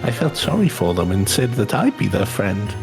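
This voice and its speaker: An earnest young boy played by a man speaks in a video game scene. The delivery is gentle, simple and sincere, and music plays clearly behind it. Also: lowish voice, sing-song, normal speed. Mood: earnest, grateful. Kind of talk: acted reading of video game dialogue.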